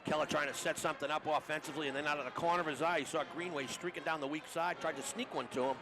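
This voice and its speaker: A man's voice: fairly high pitch, fairly even intonation, very fast. A man giving conversational hockey analysis as a color commentator, explaining plays informally to his broadcast partner. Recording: noisy arena broadcast, rink ambience behind.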